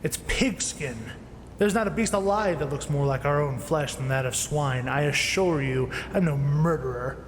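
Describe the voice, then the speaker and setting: A man's voice: fairly high pitch, moderate pace, lightly acted. An actor playing a learned, irritable inventor in his late fifties in an audio drama, speaking formally and condescendingly. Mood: dismissive. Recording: noisy, echoing with long reverb.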